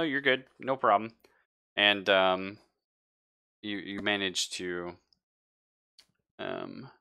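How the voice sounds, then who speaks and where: A man narrating a live tabletop roleplaying game as game master, in a halting, conversational way. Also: on the low side, pushed and bright, smooth.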